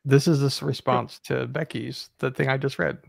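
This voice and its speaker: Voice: mid-range voice, level tone, moderate pace, vocal fry, no room sound. A reader with a dry comic delivery, moving between casual banter and dramatic email reading.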